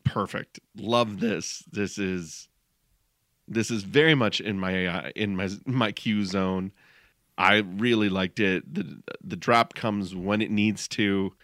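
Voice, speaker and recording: A man's voice: on the low side, slow, a bit bright, no room sound, studio quality. A man co-hosting a music podcast, talking in a laid-back, musing way with goofy asides. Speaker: podcast co-host. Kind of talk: casual podcast chat.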